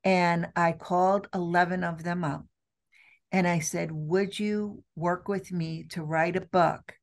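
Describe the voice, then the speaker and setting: A woman's voice: fairly low pitch, fairly even intonation. A middle-aged woman speaking earnestly as a guest on a video call interview from an office, with frequent restarts. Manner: earnest, slow and deliberate with many restarts. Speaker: trade show CEO, guest. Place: office with windows. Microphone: video call webcam.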